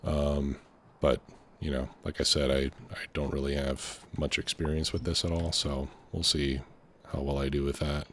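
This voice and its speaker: A man's voice: extremely low pitch, little pitch movement, normal speed, fairly bright tone, a little hiss or hum. A man with a slightly congested voice from a lingering cold, hosting a casual let's play and thinking aloud hesitantly. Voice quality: slightly stuffy, congested nose. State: getting over a cold. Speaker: gaming video host.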